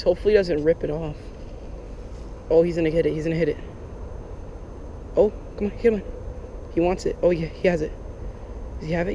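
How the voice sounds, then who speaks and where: Young male fishing vlogger, high-pitched and excitable, action-cam audio with outdoor noise. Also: lo-fi sound. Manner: chatty, excitable, lots of "like" and "oh my gosh". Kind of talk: casual running commentary while fishing. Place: outdoors on a lake bank in Florida. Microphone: head-mounted action camera.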